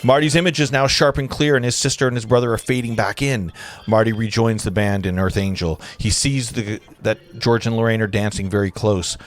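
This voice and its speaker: Low-voiced man reading stage directions. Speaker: narrator at a script read. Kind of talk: reading stage directions aloud in a casual table read.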